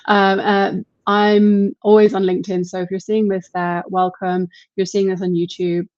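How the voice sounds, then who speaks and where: A woman's voice: mid-range voice, level tone, normal speed, a little mellow, dry recording. A chatty, upbeat woman hosts a live online session. Her voice comes through dull and bone-dry, like webcast audio. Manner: upbeat, chatty, laughs easily. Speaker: host and marketer.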